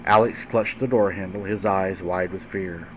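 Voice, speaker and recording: Low-voiced man telling a scary story. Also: fairly even intonation, moderate pace. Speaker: host and narrator of a paranormal story show. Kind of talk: dramatic scary-story narration. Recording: dull lo-fi sound with faint background hum.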